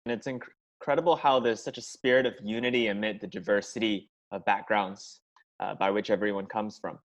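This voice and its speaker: A man's voice: lowish voice, fairly even intonation, fairly quick, muffled recording. A man moderating a panel, politely thanking guests and moving to the next question. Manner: polite, smooth transitions.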